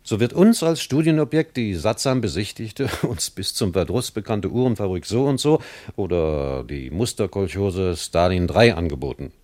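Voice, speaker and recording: A man's voice: deep voice, animated, normal speed, darkish tone, no room sound. A man playing a self-assured, wary, chummy West German diplomat who lectures in a German radio play. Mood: emphatic, wary. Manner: lecturing, chummy, punctuated with small tag questions.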